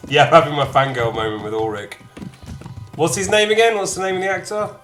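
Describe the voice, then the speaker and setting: A man's voice: on the high side, fairly even intonation, brisk, a bit bright, faint music behind. A man giving casual, playful commentary while playing a video game, chatting and gushing in asides. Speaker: gamer commentating his play. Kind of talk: casual let's-play commentary.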